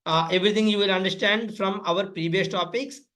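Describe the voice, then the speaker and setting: High-pitched Indian man teaching. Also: steady tone, a little fast, pushed and bright. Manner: instructional, repetitive phrasing, addresses listeners as friends. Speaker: tutorial instructor. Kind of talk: step-by-step screen-reader coding lesson.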